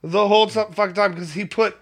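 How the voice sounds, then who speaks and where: A man's voice: sits high, steady tone, fast talker, creaky, a bit bright. A man in his thirties co-hosting a podcast in a rambling, laid-back way, swearing constantly and laughing often. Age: 30s. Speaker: podcast co-host. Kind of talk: casual stoned chat.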